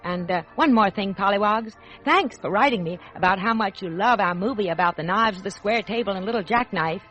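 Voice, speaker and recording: A woman's voice: mid-range voice, a little fast, few pauses, fairly bright tone, lo-fi sound, soft background music. An American woman hosting a scripted 1940s children's radio show, addressing children like a storyteller, on an old radio recording.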